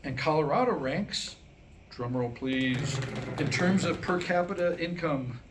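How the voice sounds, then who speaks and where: A man's voice: fairly high pitch, expressive, unhurried. A man telling jokes to live listeners in a conversational, wry stand-up style. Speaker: presenter telling jokes to a small group. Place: live staged reading before listeners. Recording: faint background noise.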